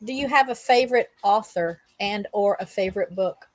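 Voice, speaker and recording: A woman's voice: medium pitch, normal speed, no room sound. A woman interviewer in a casual question-and-answer chat, talking in an informal conversational way.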